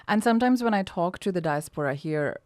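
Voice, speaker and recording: A woman's voice: fairly low pitch, expressive, fairly quick, a little mellow, no room sound, studio quality. A woman from India hosting a conversational interview, putting questions and reflections to her guest. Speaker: interviewer, host.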